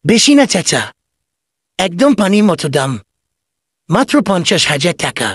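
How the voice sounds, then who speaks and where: A man's voice: sits high, big pitch swings, measured pace, breathy edge, no echo, studio quality, heavily acted. A man voicing a sly, glib goat thief and swindler in a comedy cartoon. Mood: glib, persuasive. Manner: glib, wheedling salesman patter.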